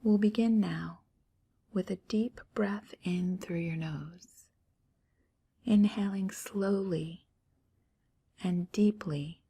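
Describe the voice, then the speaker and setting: A woman guiding a relaxation meditation in a soft, near-whispered, calm voice with gentle pauses. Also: mid-range voice, breathy edge. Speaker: meditation guide. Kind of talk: guided meditation.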